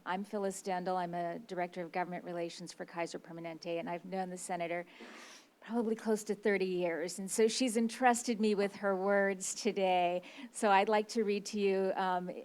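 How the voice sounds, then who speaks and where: A woman who directs government relations, politely reading a prepared speech aloud on someone else's behalf and stumbling slightly over names. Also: lowish voice.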